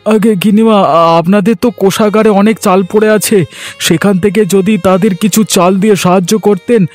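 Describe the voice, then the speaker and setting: A man's voice: high voice, steady tone, a little fast, a little mellow, faint music behind, close to an everyday voice. A man playing a kindly, earnest young son of a village headman in a children's cartoon. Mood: pleading. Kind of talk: Bengali children's cartoon voice acting.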